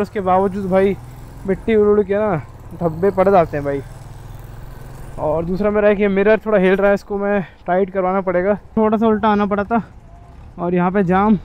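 A man's voice: sits high, a little mellow, smooth, lo-fi sound. A man, chatting casually and informally to viewers as a motovlogger, often grumbling like he would to buddies. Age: 20s. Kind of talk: casual chat to camera. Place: on a motorbike in city streets, later inside a small helmet shop. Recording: noisy street and traffic sound. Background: traffic and vehicle noise.

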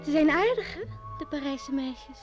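A woman's voice: high-pitched, animated, moderate pace, soft background music. A woman playing a wistful, jealous young innkeeping daughter of a mayor in a radio play, on a dull old recording. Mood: wistful, sulky. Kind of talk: radio drama acting.

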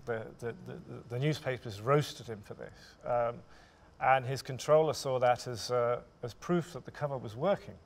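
A man in his forties with a noticeably gravelly voice of medium pitch speaks as a writer on a stage panel. He tells his account in measured, thoughtful phrases, often halting as he goes. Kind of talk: panel discussion, storytelling. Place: theatre stage, indoors.

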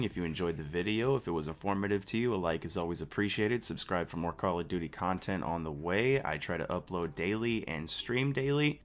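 A man narrating a video game guide in a casual, informal way, addressing viewers directly as the channel's host. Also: low voice. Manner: informal, friendly greetings and thanks to viewers.

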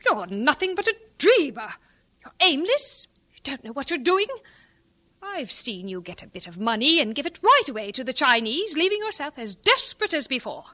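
An actress playing a stern, domineering Victorian missionary guardian in an audio drama, indignant and scornful. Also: female voice, high-pitched, very animated, moderate pace, pushed and bright, exaggerated voice. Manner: indignant, clipped, imperious.

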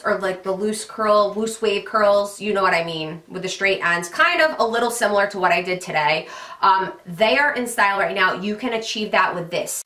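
A woman's voice: medium pitch, bright, forceful tone, echoey room. A woman in her thirties chatting to camera about gift picks, gushing and filling with "like" and "um", a little nasal from being stuffed up. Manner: chatty, gushing, many fillers. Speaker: beauty vlogger. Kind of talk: casual product recommendations to camera. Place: pink bedroom studio with vanity mirror and lit tree.

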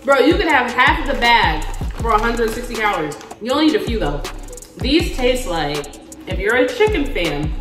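A woman's voice: fairly high pitch, roomy sound. A woman in her twenties chatting animatedly to the camera in a casual day-in-the-life vlog, filmed in her home kitchen on a camera a few feet away. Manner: chatty and animated, talks to camera with hand gestures. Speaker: vlogger. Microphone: camera on a stand, a few feet away.

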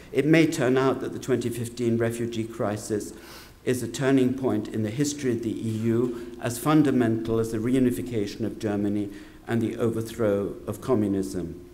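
An older man giving a formal, measured talk from a lecture hall podium. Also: mid-range voice, mellow. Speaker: panel speaker. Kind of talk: reading a prepared lecture. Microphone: podium microphone.

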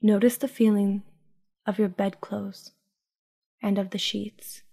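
Female meditation guide: dark, relaxed tone, very even pitch, soothing and hushed, leaving long pauses. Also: gravelly, breathy edge. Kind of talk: guided sleep meditation, scripted narration.

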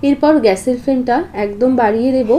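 A woman's voice: fairly high pitch, quick pace, mellow. A woman narrating a recipe step by step as a cooking host, in an instructional, explanatory way. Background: guitar background music.

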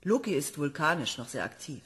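A woman's voice: low voice, big pitch swings, a little fast, fairly bright tone, slightly rough, heavily acted. An actress playing an enthusiastic woman planetology professor reporting to a commission. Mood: earnest. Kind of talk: radio play acting.

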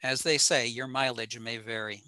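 A man's voice: medium pitch, steady tone, moderate pace, sharp tone, no room sound, studio quality. A man presenting technical material informally with slides over a video call, in a plain-spoken, matter-of-fact manner. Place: indoor home room, over a video call.

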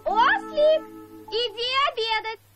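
High-pitched, bossy mother donkey. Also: animated, slow, over music, heavily acted. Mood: scolding. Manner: bossy, calling out instructions. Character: fussing, scolding mother donkey. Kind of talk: animated children's cartoon acting.

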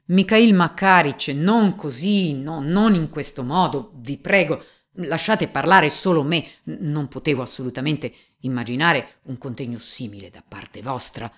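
A woman's voice: very deep voice, darkish tone. A woman voicing a small, young examining magistrate who speaks formally and in a hurry. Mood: urgent. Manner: hurried and flustered, then stern and declaiming.

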